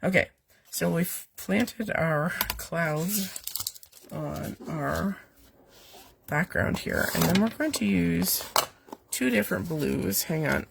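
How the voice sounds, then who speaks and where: A woman with a cold leads a chatty card-making lesson from her basement. Her voice is rough and gravelly, with a faint hiss of noise underneath. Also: fairly low pitch, takes it slowly, long pauses. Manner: chatty, rambling, with fillers and asides to her cat. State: has a cold, voice weak and coming and going. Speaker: host of a live card-making stream. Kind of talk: casual step-by-step craft demonstration. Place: home basement.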